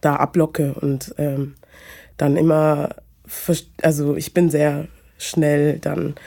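A woman, talking hesitantly about her personal life with many pauses and fillers. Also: deep voice, vocal fry, warm tone. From Munich, Germany. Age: 20s. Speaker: guest. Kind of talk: personal conversation.

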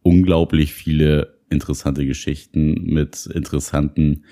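Very deep, dark-voiced man cohost. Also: fairly even intonation, normal speed. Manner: dry joking quips, reflective. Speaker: podcast co-host. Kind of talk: casual chat.

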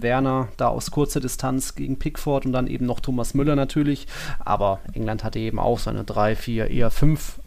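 A man's voice: mid-range voice, creaky, darkish tone. A man co-hosting a football podcast, giving casual, matter-of-fact analysis in long, colloquial sentences.

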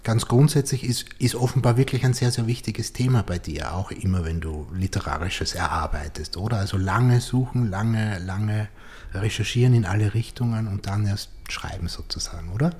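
Man, podcast host; low voice, probing and rambling questions, lots of backchannel murmurs. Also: moderate pace, a little mellow. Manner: probing questions, frequent "oder?" and "mhm" backchannels, repeats words. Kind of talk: conversational interview.

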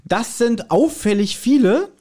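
A man's voice: very high-pitched, animated, unhurried, darkish tone. A man with a German accent chatting casually as a podcast co-host, with a teasing, mock-scolding way of bantering.

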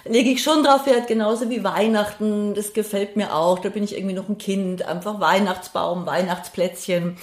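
Middle-aged woman. Interview guest thinking aloud in casual, hesitant phrases, medium pitch, roomy recording. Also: fairly even intonation, moderate pace, few pauses. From Passau, Bavaria, Germany. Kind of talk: casual reflective conversation. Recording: roomy, echoey sound.

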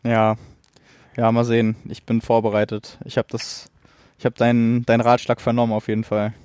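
A man's voice: medium pitch, monotone, moderate pace, a little mellow, smooth, dry recording. A man co-hosting a podcast in a casual chat, talking hesitantly with many restarts and fillers. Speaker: podcast co-host. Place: home studio.

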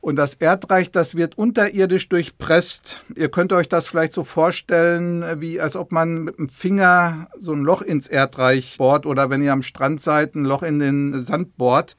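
Guy expert on a kids podcast explaining step by step, slightly high voice, very dry recording. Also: no room sound. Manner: didactic, illustrating with everyday comparisons. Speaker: expert guest, government department head. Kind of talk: explaining simply to children in a podcast.

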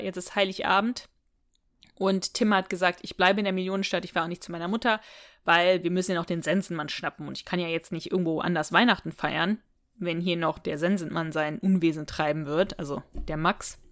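A woman co-hosting a podcast, casually discussing an audio drama in long, looping explanations. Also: mid-range voice. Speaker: podcast co-host.

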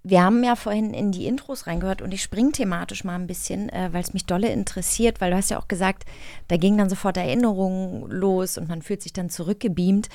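A woman's voice: mid-range voice, fast, dark tone, no echo. A woman speaking as an interview host, in casual, informal studio conversation. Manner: informal, chatty. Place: recording studio.

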